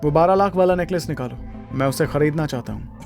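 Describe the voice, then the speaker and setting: Man acting a proud, composed hero, slightly high-voiced. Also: fast talker, creaky, a little mellow, soft background music. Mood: firm. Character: proud, composed, secretly wealthy young husband. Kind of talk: Hindi audio drama acting.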